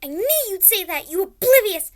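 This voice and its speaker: A young woman as a shrill, bratty, murderous little girl. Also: expressive, brisk, a little mellow. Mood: earnest. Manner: theatrical, indignant. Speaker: student actor in radio play. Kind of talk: radio play acting.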